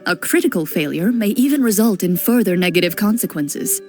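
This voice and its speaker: A woman voicing a composed woman who runs the game and explains its rules calmly. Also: medium pitch, soft background music, lightly acted. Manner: calm, explanatory, measured. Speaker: game master. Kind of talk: game voice acting.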